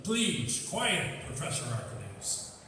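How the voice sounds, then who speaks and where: A man's voice: medium pitch, sing-song, slow, pushed and bright, full character voice. A man playing a firm, formal presiding officer at a court martial in a radio drama. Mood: firm, commanding. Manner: formal, commanding. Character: firm, authoritative presiding military judge. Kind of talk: stage radio drama acting. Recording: echoey hall from far off, faint noise.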